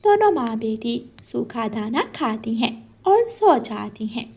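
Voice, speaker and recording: A woman's voice: on the high side, big pitch swings, a little slow, a little mellow, breathy edge. A woman storyteller reading scripted narration for a children's cartoon moral tale.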